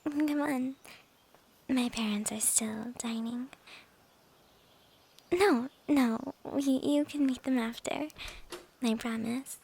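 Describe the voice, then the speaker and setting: A woman performs a coy young female vampire for an intimate ASMR roleplay. Her voice is soft and breathy, often whispered, with a creaky edge. She speaks slowly and hesitantly, playful and secretive, over faint background noise. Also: sits high, steady tone, exaggerated voice. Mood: gently evasive. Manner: coy, hesitant, drawn-out phrasing with soft chuckles. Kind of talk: ASMR roleplay, intimate girlfriend-style monologue.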